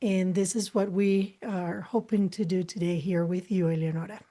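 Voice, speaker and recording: A woman from Costa Rica, a guest on a talk show, speaking in a calm, measured way with some fillers. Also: on the low side. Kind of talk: interview conversation.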